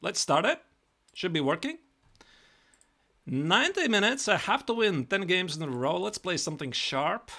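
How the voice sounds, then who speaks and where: A man's voice: high-pitched, big pitch swings, normal speed, pauses often, bright, forceful tone. A man with a Latvian accent chatting casually on a live chess stream, repeating and restarting his words.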